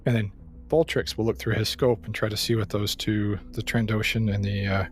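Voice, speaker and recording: A man's voice: fairly low pitch, flat delivery, a little fast. A man taking part in a tabletop roleplaying game, talking casually as a player.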